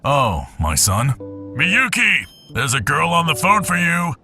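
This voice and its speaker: An actor playing a blunt, deadpan middle-aged father in an anime fandub radio play. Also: mid-range voice, unhurried, soft background music, exaggerated voice. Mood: casual, calling out.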